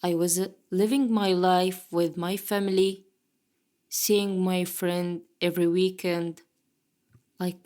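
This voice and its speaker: Slow-spoken young woman, reflective interview. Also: fairly low pitch, level tone. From Gaza. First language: Arabic. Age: 20s. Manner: halting, reflective, with soft laughs. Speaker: journalist. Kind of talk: conversational interview.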